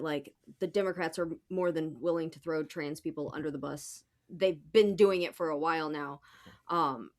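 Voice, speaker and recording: A woman talking casually as a guest on a political podcast, blunt and colloquial, often saying "like". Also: lowish voice.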